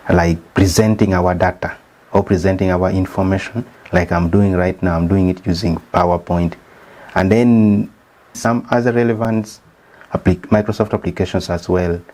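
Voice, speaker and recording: A man's voice: sits low, darkish tone. A man from Uganda with a Ugandan English accent, a statistician hosting his channel and walking viewers through a slideshow lesson step by step. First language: not English. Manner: methodical, explains step by step.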